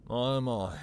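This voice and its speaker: A man playing a possessive, domineering vampire master in an intimate audio roleplay, with slow, teasing, silky delivery and a breathy, gravelly murmur. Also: mid-range voice, a little mellow, slight background noise. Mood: flirtatious, courteous.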